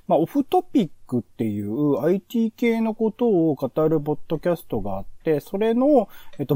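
A man's voice: on the high side, mellow, no room sound. A man hosting a casual podcast discussion, chatting and thinking aloud as he introduces and reviews things. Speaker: podcast host.

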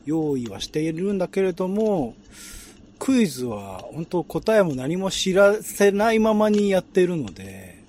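Casting: male chat host, high pitch, lively swooping intonation, informal style, faint noise behind. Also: measured pace. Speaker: podcast host. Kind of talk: casual chat, explaining and asking guest.

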